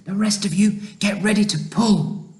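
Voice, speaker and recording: A man's voice: high voice, a little slow, pitched above the performer's own voice. A man voicing an urgent, commanding boy in a storybook. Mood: hushed urgency. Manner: urgent, breathy whispers and excited shouts. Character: young boy James, urgent, commanding leader.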